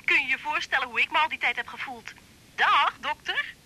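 A woman's voice: sits high, expressive, brisk, sharp tone, slight background noise, full character voice. An actress with a Netherlands Dutch accent playing a spiteful, fickle young woman in a radio drama, curt, taunting and defiant. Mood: mocking, spiteful.

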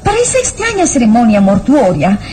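A woman's voice: on the high side, huge pitch swings, brisk, warm tone. A woman playing an anxious, insistent young lady in an old radio serial, melodramatic and urgent. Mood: fearful, uneasy. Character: anxious, insistent young noblewoman demanding answers.

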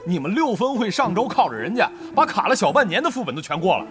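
An actor playing a young, argumentative guild officer who pushes and gripes, in a Chinese audio drama. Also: male voice, high voice, big pitch swings, quick pace, over music. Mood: indignant. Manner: indignant, argumentative, eager. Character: pushy, griping young male guild officer.

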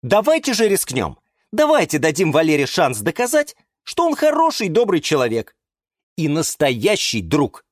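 A man's voice: a little high, big pitch swings, measured pace, no room sound, studio quality, voice pushed up. A man voicing a patient, kind man in a children's story, speaking earnestly and persuasively. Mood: patient pleading.

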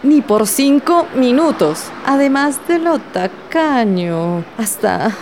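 Fed-up Colombian woman, played by an actress, highish mellow voice, sassy scolding, radio soap. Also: lively intonation, slow pace, a little hiss or hum, heavily acted. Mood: indignant. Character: indignant, fed-up woman on a failed date. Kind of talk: radio soap dialogue, street interview.

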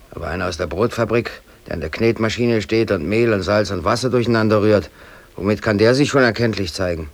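A man's voice: fairly low pitch, gravelly. An actor playing an aggrieved, defiant working man in his thirties, brooding aloud in colloquial speech in a radio drama. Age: 30s. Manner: colloquial, brooding, talking to himself. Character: aggrieved, defiant working man, hobby photographer, in police custody.

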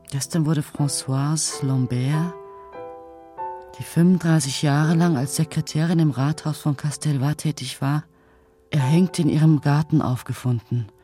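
Slow-reading, musing woman. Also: low-pitched. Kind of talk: radio crime drama acting. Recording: music behind the voice.

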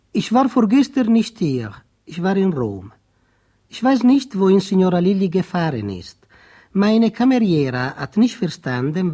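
Animated Italian count. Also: male voice, fairly high pitch. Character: courteous Italian count, mildly flustered gentleman. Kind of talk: radio play, letter read aloud in character.

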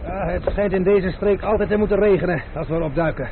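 A noisy, dull-sounding old radio play. A male actor plays a confident gentleman adventurer with a somewhat high voice. He speaks with wry polish and easy authority, talking down to others. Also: fast talker, natural voice. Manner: urbane, patronising, wry. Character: self-assured gentleman adventurer, wryly amused and commanding.

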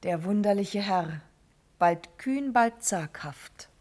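A woman's voice: medium pitch, expressive, slow, a little mellow. A woman playing a young noblewoman in a classical verse radio drama, resolute, urgent and tender, with formal declamatory diction. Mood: resolute, scheming.

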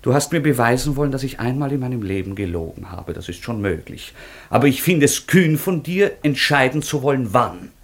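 A man's voice: medium pitch, expressive, fairly quick. A man acting an earnest, proud young nobleman in love, declaiming in a German classic radio drama. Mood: stung, defiant. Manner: declamatory, theatrical.

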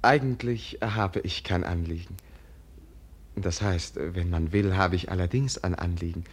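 A candid young prince in a 1950s German radio play. His voice sits fairly low. He speaks with gentle courtesy and guileless earnestness. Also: a little slow, husky. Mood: explanatory, earnest.

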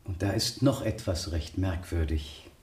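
An actor playing a flustered middle-aged village vicar in a German radio play, formal and agitated, turning stern under pressure. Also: male voice, sits low, measured pace, a little mellow, roomy sound. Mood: troubled.

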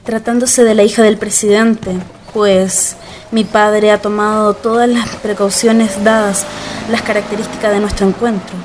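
A woman's voice: mid-range voice, little pitch movement, moderate pace, darkish tone, slight background noise, natural voice. A woman playing a refined, courteous president's daughter in a radio drama, speaking formally and precisely. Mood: self-assured. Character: refined president's daughter, polite, anxious, self-assured. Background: background music.

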